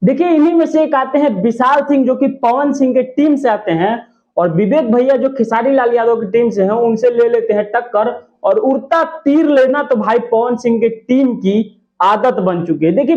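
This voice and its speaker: A man giving opinionated, emphatic gossip commentary to his viewers, often repeating his points for stress. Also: very high voice. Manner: emphatic, repetitive, partisan. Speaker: YouTube commentator. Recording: dull lo-fi audio.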